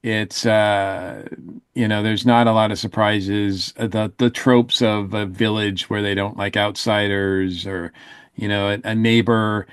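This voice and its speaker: A man with a fairly low voice chats as a podcast panelist. He reviews casually, in a halting way, circling his points as he goes. The recording is dry. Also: little pitch movement, clear, resonant tone, no room sound.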